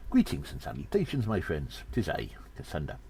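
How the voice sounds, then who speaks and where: A man voicing a gnome adventurer who earnestly narrates his exploits in an audio drama, with a theatrical, halting delivery. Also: sits low, huge pitch swings, creaky, dark tone, slight background noise, heavily acted. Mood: earnest, conspiratorial.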